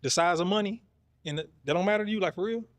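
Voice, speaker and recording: Contestant: man, rapid-fire jokester, slightly high voice. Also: dry recording. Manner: joking, playful, fast-talking. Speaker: dating show contestant. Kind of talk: casual banter.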